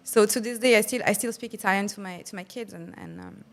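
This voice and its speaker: A woman's voice: medium pitch, huge pitch swings, fast. A woman from Luxembourg with a French accent, chatting casually as an interview guest on a video call, with filler words and restarts. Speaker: interview guest, company executive.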